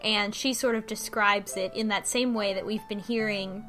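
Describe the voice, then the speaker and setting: A woman's voice: medium pitch, fairly even intonation, moderate pace, pushed and bright, soft background music. A woman in casual podcast conversation, recapping a story as a panelist and acting out the lines as she goes.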